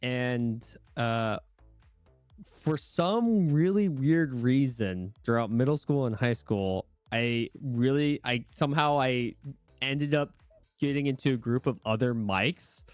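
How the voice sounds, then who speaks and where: A man's voice: medium pitch, slow, clear, resonant tone, no room sound. Southern guy rambling thru a story, chuckles a lot, panel call, dry mic, faint music. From Southern United States. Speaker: VTuber panel guest.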